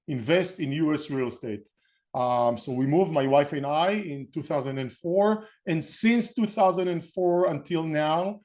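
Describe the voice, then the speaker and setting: Middle-aged Israeli man presenting, slightly high-pitched voice. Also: a little mellow. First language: Hebrew. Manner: halting, rambling, often rephrasing mid-sentence. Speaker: webinar host and real estate investment coach. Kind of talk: informal webinar presentation.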